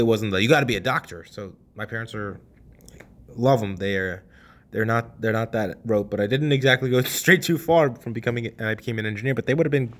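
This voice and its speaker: A young man in his twenties, hosting a podcast and telling a personal story in a casual, animated way, cursing freely and dropping at times to a hush. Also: fairly low pitch. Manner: animated, swears casually, swings from loud to hushed. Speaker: podcast host.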